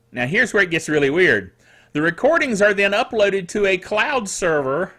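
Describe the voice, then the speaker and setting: A middle-aged man hosting a solo tech news show in a chatty, playful and quirky way, with theatrical asides and a slightly dry, rough throat. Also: high voice, bright, forceful tone.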